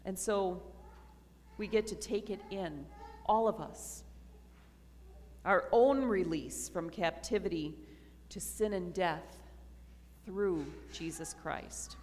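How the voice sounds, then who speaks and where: A woman pastor giving a slow, earnest communion sermon to her congregation in a church. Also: fairly low pitch, darkish tone, a little hiss or hum. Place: church sanctuary. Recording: reverberant church hall.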